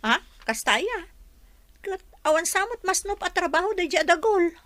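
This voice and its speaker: A wary, blunt roommate in a radio drama. Her voice is very high and bright, with a forceful edge. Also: lively intonation, moderate pace. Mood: doubtful, objecting. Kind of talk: Ilocano radio drama acting.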